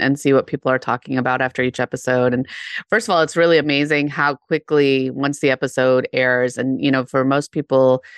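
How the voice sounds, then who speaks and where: A woman's voice: rumbling low, no room sound, clean studio sound. A woman co-hosting a podcast, under the weather and a bit nasal, chatting casually and laughing often. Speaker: podcast co-host.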